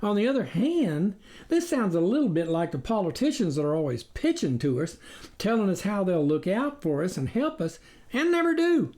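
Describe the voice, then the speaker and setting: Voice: medium pitch, big pitch swings, moderate pace, dark tone, voice pushed up. A reader voicing a folksy, gullible male farmer in a storybook tale, musing in rustic country talk. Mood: skeptical.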